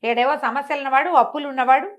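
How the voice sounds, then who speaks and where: A woman's voice: high voice, fairly even intonation, fast, highs cut off, natural voice. A woman storyteller voicing a loyal, outspoken young male servant in his twenties who questions his master. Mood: puzzled, protesting.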